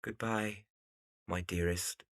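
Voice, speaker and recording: A man playing an earnest, melancholy young gentleman architect in a period audio drama. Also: low voice, fairly even intonation, takes it slowly. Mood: tender, pleading.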